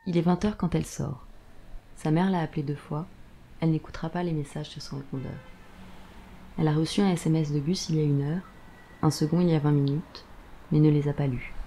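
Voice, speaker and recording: A woman narrates an audio drama from a script. Her voice sits very low for a woman and has a dark, soft-edged mellowness. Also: steady tone, a little fast, long pauses, slight background noise.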